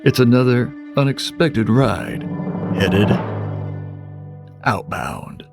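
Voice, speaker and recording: An actor playing a portentous, wry narrator of an uncanny anthology tale, in measured, theatrical vintage television style. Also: male voice, medium pitch, gravelly, over music. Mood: wry, dramatic. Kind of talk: scripted narration in vintage eerie TV anthology manner.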